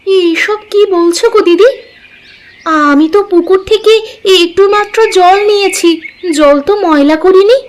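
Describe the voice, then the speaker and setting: A woman's voice: very high-pitched, a little slow, a little hiss or hum. A woman voicing a proud, plain-spoken poor village wife in a cartoon drama. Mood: indignant.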